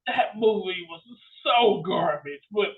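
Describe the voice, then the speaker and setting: A man with a notably high voice chats casually as a podcast co-host. He rants and tells stories in slangy, exclamatory bursts. The recording is a bit dull and lo-fi. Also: expressive, slow pace. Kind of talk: casual chat, storytelling and ranting.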